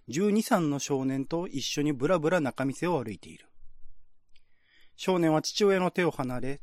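A man's voice: on the high side, darkish tone, no echo, clean studio sound. A man who works as a radio drama host and narrator, presenting and reading scripts.

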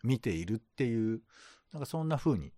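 Male co-host, medium pitch, dry sound, thinks aloud in relaxed casual chat with varied intonation. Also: normal speed, no room sound.

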